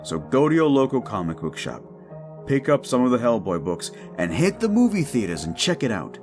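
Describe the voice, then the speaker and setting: A man's voice: mid-range voice, very animated, brisk, darkish tone, faint music behind. A man who makes audio roleplays, addressing his listeners in a scripted promotional outro.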